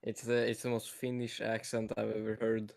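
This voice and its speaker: A man's voice: on the low side, monotone, normal speed, creaky, a little mellow. Man, playful teasing banter, mock-exaggerated jokes. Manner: joking, mock-exaggerated ribbing.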